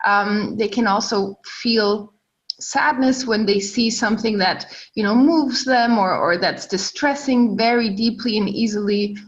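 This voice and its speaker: A woman's voice: mid-range voice, measured pace, highs cut off. A woman from Germany, a guest on a podcast, speaking articulately with frequent laughs and "um" fillers. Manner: warm, articulate, laughs often, uses um fillers. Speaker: guest, entrepreneur. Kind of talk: podcast interview.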